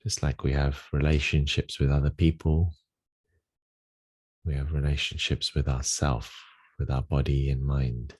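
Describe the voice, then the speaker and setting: Very deep-voiced man guiding meditation, unhurried. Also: flat delivery, breathy edge. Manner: gentle, unhurried instruction with long pauses. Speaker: meditation guide. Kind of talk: guided meditation.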